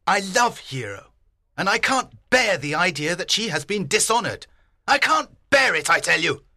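Man acting an indignant old father, sharp and emphatic. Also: a little high, big pitch swings, measured pace, no room sound, studio quality, heavily acted. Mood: distraught. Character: angry, distraught old father defending his daughter's honour. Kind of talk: dramatised audiobook acting.

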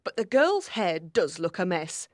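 A woman's voice: on the low side, sing-song, a little slow, no echo, clean studio sound. A woman voicing a stern, disapproving older aunt in an audiobook, with a haughty, critical way of talking. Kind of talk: audiobook character voice.

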